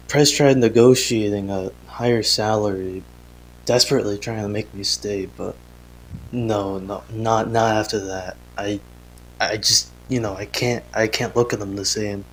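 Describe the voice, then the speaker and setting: Low-voiced, halting young father played by a man. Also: steady tone, a little hiss or hum, close to an everyday voice, rough recording. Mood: uneasy, shaken. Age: young adult. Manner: halting, hesitant, sighing, self-interrupting. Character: earnest young father keeping a troubled audio diary. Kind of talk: analog horror audio drama, confessional voice log.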